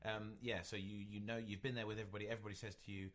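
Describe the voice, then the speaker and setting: A man's voice: lowish voice, little pitch movement, racing. A man co-hosting a podcast, chatting casually and rambling with many restarts. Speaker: podcast co-host. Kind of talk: casual chat.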